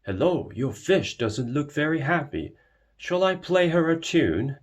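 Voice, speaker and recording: A man's voice: on the high side, very animated, a little slow, voice pushed up. Man playing a hearty, swooping storybook bull. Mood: helpful. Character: friendly sociable male bull with a tuba. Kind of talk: children's storybook read-aloud.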